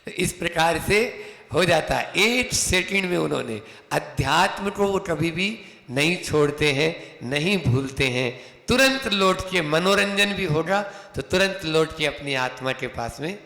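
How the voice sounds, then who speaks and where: A man giving a sermon as a monk, telling anecdotes and slowly chanting verses. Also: on the high side, animated, gravelly, sharp tone. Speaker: Jain monk lecturer. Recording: echoey hall reverb.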